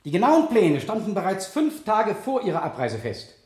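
Sardonic prosecutor in an old 70s radio play, really animated, insistent, roomy echo. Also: male voice, high voice, normal speed. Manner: precise, pointed, rhetorical questioning. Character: stern prosecutor cross-examining the accused, probing, insistent, sardonic. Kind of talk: 1970 German radio play acting. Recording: roomy 1970 studio radio play sound.

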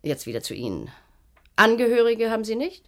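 An actress in a German radio play voicing a stern, sardonic matron of a secretive clinic, brusque, probing and condescending. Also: female voice, a little high, very animated, fairly quick.

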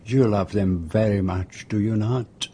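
An actor playing a shrewd, courteous detective with a French-speaking Belgian accent, speaking formally and precisely in a radio drama. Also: male voice, on the low side, fairly even intonation, warm tone, a little hiss or hum, close to an everyday voice. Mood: firm, commanding. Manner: formal, measured, precise phrasing. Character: shrewd, courteous male detective, self-assured and commanding.

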